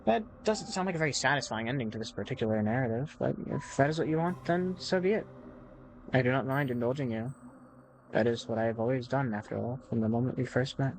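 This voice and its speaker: A man's voice: mid-range voice, quick pace, a little hiss or hum, close to an everyday voice, low-quality recording. A man reading as a courtly, possessive narrator. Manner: ornate and courtly, speaking straight to the player. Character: formal, devoted, possessive visual novel narrator. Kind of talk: reading game text aloud.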